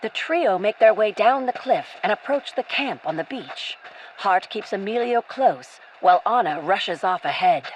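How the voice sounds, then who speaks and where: A woman's voice: medium pitch, lively intonation, normal speed, slight background noise, poor audio. A woman narrating a scripted radio-play adventure as a storyteller. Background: music.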